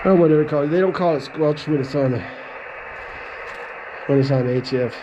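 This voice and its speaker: A middle-aged man giving a casual, halting, folksy account as he vlogs outdoors at a park. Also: fairly high pitch, lo-fi sound. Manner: halting, folksy, stop-and-start storytelling. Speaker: amateur radio operator vlogging. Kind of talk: casual rambling outdoor vlog. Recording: clear ambient noise outdoors. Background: ambient outdoor noise.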